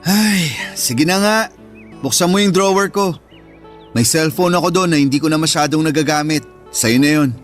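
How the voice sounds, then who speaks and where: A man's voice: fairly high pitch, moderate pace, soft background music, lightly acted. A man playing a caring, forgiving young elder brother in a Filipino radio drama, earnest and tender, with a Filipino accent. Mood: teasing, indulgent.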